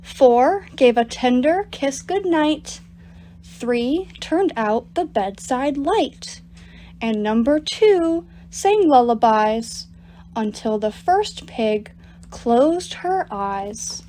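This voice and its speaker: A woman reading picture books aloud to children as a storytime reader, in an animated, deliberate storytelling manner. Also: sits high, a little hiss or hum. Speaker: storytime reader at a public library. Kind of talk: expressive picture-book read-aloud for children.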